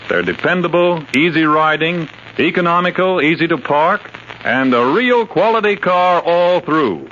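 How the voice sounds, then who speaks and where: A man's voice: a little high, expressive, a little slow, a bit bright. A man reading a scripted 1950s radio testimonial as a customer. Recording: dull vintage radio recording, faint noise.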